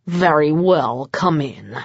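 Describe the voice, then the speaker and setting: A woman voicing a stern, quick-tempered male vampire lord in an audiobook, clipped and menacing. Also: a little high, animated, no room sound, dull audio, pitched below the performer's own voice. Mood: cold.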